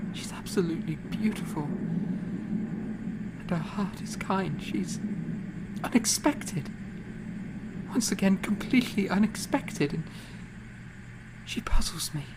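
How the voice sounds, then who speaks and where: A man acting a weary, feverish young gentleman composer who speaks in halting, formal, courteous phrases in a period audio drama. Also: on the high side, noisy background. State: feverish, weak.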